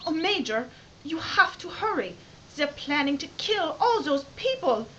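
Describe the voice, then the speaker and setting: A woman's voice: high voice, very animated, unhurried, bright, forceful tone, exaggerated voice. An actress playing a frightened young German woman who escaped captivity, speaking with a German accent in an audio drama. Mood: urgent, pleading. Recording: muffled with hiss, ambient sound effects.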